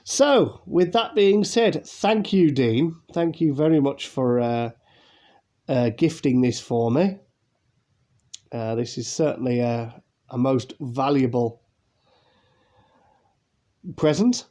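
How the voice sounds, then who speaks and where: A man's voice: medium pitch, slow, long pauses, dark tone. A man from England, hosting a casual unboxing video, chatty and rambling with fillers, his voice nasal and congested from a cold. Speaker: YouTube unboxing host.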